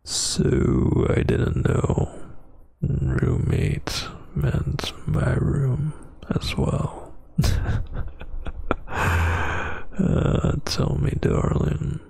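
A man performing intimate boyfriend ASMR as a huge, dominant, teasing roommate, in a breathy, gravelly half-whisper close to the mic. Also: mid-range voice, flat delivery, mellow, dry recording. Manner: slow, teasing, dominant murmur. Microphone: close microphone.

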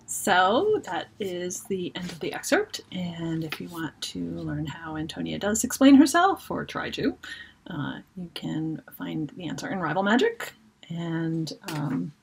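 A middle-aged woman author reading a children's fantasy story aloud in an expressive, dramatic way. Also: fairly low pitch, gravelly, husky. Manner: animated, dramatic reader. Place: book-lined room at home.

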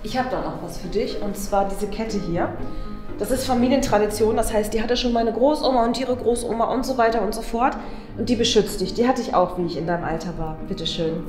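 A young woman playing a caring, slightly worried gothic mother in a scripted parody, gentle and genteel. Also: fairly high pitch, fairly quick, echoey room, music in the background, lightly acted. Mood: tender. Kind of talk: scripted web parody acting.

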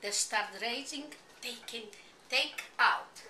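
An older woman with a Polish accent hosts an informal home cooking demonstration, speaking in simple, halting non-native English phrases. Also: fairly high pitch, bright, forceful tone. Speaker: home cooking show host. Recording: noisy amateur home video.

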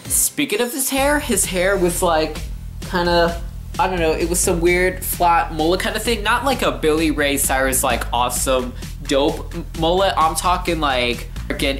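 A man's voice: on the high side, fairly bright tone. A young male vlogger telling a story casually in a living room. He rants with sarcasm and exaggeration, and faint music plays behind him. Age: teenager to young adult.

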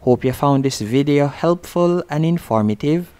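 A man's voice: a little high, lively intonation, moderate pace, a little mellow, clean tone. A man presenting a how-to tutorial in scripted narration, giving plain step-by-step instructions.

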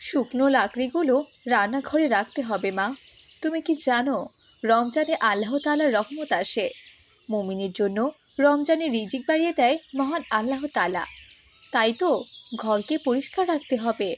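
A woman playing a gentle, pious village mother in a cartoon moral story. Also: a little high, lively intonation, moderate pace, a little hiss or hum, lightly acted. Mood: gentle, devout.

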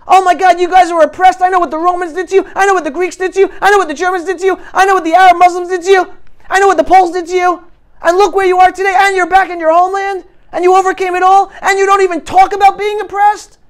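A middle-aged man giving an impassioned, animated motivational talk to young people, with emphatic delivery. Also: extremely high pitch, fast. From New York City, United States. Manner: emphatic, animated, swinging between loud and hushed. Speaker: guest speaker addressing high school students. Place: classroom, indoors. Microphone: lapel mic.

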